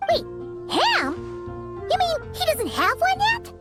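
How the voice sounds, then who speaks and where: A woman voicing a tiny, perky, cheeky fairy companion in a video game, with a squeaky childlike voice and exaggerated delivery. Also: huge pitch swings, takes it slowly, exaggerated voice. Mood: incredulous, cheeky. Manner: exaggerated, third-person chatter. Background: background music.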